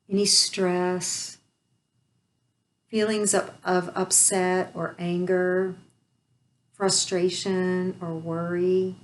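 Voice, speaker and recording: A woman leading a guided meditation, speaking softly and soothingly with long pauses. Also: fairly low pitch.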